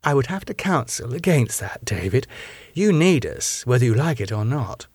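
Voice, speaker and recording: A man's voice: mid-range voice, very animated, normal speed, husky, dry recording. A man reading an audiobook, voicing a composed woman in authority who is polite, probing and insistent. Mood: firm. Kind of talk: audiobook character dialogue.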